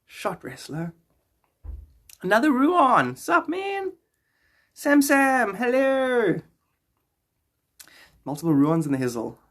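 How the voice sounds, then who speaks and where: A man's voice: extremely high pitch, wildly swooping pitch, a bit bright. A man in his thirties with a South African accent, chatting casually and playfully in slang as he hosts a live stream, close to a phone in a small room. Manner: playful, slangy, greeting viewers in drawn-out exclamations. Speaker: live streamer and podcast host.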